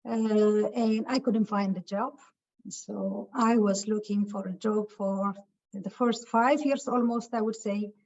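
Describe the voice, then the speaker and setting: A woman from Ethiopia giving a webinar talk over a video call, speaking haltingly with many filler sounds. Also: medium pitch, fairly even intonation, darkish tone. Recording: muffled lo-fi call audio.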